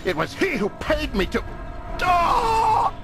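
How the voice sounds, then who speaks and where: Wildly animated, blustering old merchant. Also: male voice, extremely high pitch, slow, exaggerated voice. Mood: terrified, pleading. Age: older. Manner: florid, blustering, stammering when cornered. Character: lecherous, cowardly old wealthy merchant, blustering and theatrical. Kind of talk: video game voice acting. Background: music.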